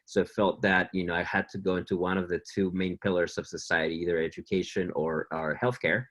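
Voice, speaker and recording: Deep-voiced man. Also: steady tone, a little fast, fairly bright tone. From Mexico City. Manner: polite and straightforward. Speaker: panelist, tech executive. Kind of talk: webinar panel talk.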